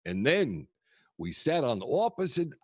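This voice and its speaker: An actor playing a kooky old grandfather who tells rambling, theatrical, halting stories to his grandchildren in a comedic audio play. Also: medium pitch, sing-song, slightly rough, no echo, clean studio sound. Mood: nostalgic. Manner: theatrical, wistful, halting storyteller.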